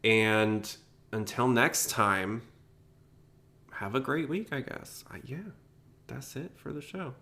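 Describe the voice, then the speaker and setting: A man's voice: medium pitch, takes it slowly, vocal fry, long pauses, pushed and bright. A man hosting a casual podcast, talking in an informal, rambling way. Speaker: podcast host.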